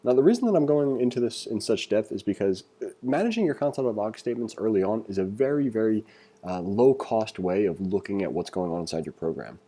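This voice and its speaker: A man with a lowish, very relaxed and mellow voice teaches in an informal screencast style. He talks conversationally, thinking aloud as he reads along and comments. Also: fairly quick. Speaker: programming tutorial instructor. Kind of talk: casual screencast tutorial, reading along and commenting.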